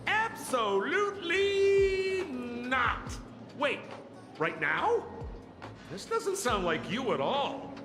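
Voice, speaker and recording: A man playing an excitable old tavern informant in a video game, teasing and theatrical as he spins his stories. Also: extremely high pitch, very slow, heavily acted. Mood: indignant, frantic. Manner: theatrical, teasing storyteller with dramatic exclamations. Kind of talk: animated video game acting. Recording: echoey, reverberant, over music.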